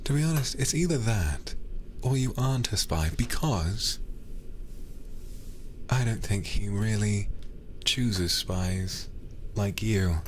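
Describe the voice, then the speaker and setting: A man voicing a possessive, condescending demon lord in an intimate ASMR roleplay, speaking slowly and softly, often near a whisper. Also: sits low, slight background noise. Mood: musing, dry. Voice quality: soft, breathy, often dropping to a whisper. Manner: slow, deliberate, teasing, condescending. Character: possessive, smooth male demon lord of feudal Japan. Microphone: close ASMR microphone.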